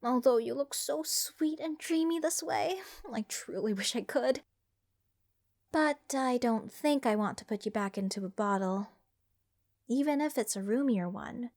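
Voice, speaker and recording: A woman playing an eccentric, curse-loving mage in an ASMR fantasy roleplay, soft and soothing, sweetly rambling with wry endearments. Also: a little high, unhurried. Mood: soothing, wistful.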